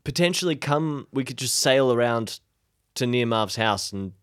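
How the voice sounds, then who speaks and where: Lively man role-playing, with swooping pitch. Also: normal speed, clean tone. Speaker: player in an improvised role-playing audio drama. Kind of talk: casual improvised role-play chat.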